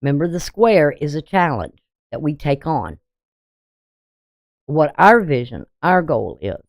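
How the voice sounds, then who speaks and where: Deep-voiced woman host, blunt chatty astrology reading, low pitch, dry close sound. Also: very animated, unhurried, dry recording. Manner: blunt, conversational, frequent "you know". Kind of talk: casual daily astrology reading.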